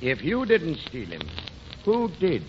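A man's voice: fairly high pitch, huge pitch swings, a little slow. An actor playing a brusque, no-nonsense policeman in 1940s radio comedy. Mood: puzzled, firm. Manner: brusque, blustery. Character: blustery Irish beat policeman, no-nonsense. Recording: dull, noisy 1940s radio recording.

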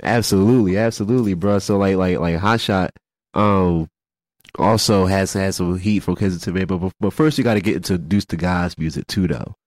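A man with a very low, deep voice hosts a casual podcast chat while congested. He stumbles through restarts and fillers. The sound is dry and close. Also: no echo. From Philadelphia. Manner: halting, many repeated words and fillers. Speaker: podcast host. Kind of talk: casual radio-style chat.

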